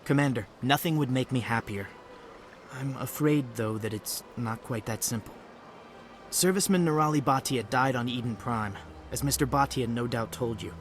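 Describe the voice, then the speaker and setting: A man playing an evasive diplomatic bureaucrat in a video game, courteous, formal and measured. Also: mid-range voice, a little hiss or hum, close to an everyday voice. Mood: apologetic, official.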